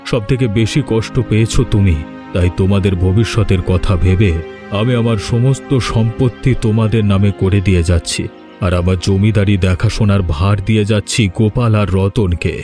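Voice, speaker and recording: A man's voice: lowish voice, fairly quick, music in the background, close to an everyday voice. A man voicing a remorseful, humbled rich village landlord in a cartoon, speaking solemnly and confessionally. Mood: humbled, resolute. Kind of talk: Bengali cartoon voice acting.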